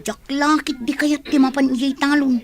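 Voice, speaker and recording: Woman doing a high voiced student girl, lazy and grumbly, radio comedy drama. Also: level tone, moderate pace. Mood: carefree, grumbling. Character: young student daughter, carefree and work-shy.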